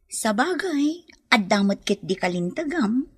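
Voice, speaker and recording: A woman's voice: a little high, very animated, a little slow, slightly rough, dry recording, studio quality, heavily acted. A woman playing a frank, sympathetic sister in an Ilocano radio drama.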